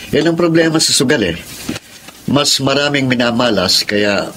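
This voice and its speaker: A man's voice: mid-range voice, expressive, moderate pace, a bit bright, lightly acted. A man with a Filipino accent acting a frank, concerned friend in a radio drama. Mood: admonishing. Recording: clear background noise and ambience.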